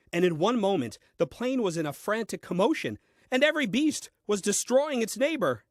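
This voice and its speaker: A man's voice: a little high, dry recording. An actor playing a grumbling, self-important first man reading his diary in a comic audio play, with dry, fussy delivery.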